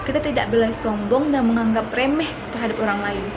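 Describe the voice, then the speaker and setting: A young woman with an Indonesian accent, a student telling a folk tale aloud in a plain, steady reading. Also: fairly high pitch, a little fast, noisy background. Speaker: student storyteller.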